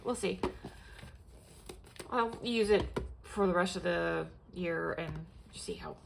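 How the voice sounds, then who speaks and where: Young woman vlogging at home, stuffy nose, a bit of vocal fry, rambly, faint hiss behind. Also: fairly low pitch, takes it slowly. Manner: rambling, many fillers and restarts. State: congested, sniffling. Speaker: planner vlogger. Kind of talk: casual show-and-tell chat.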